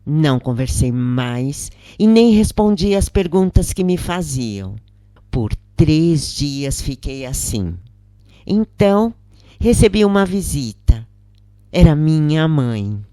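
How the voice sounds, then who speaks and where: A Brazilian woman narrates a first-person tale in a low register. Her delivery is unhurried and dramatic, with wide swings in intonation, captured in a close, dry recording. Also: mellow, no room sound. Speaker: narrator reading a spiritist story aloud.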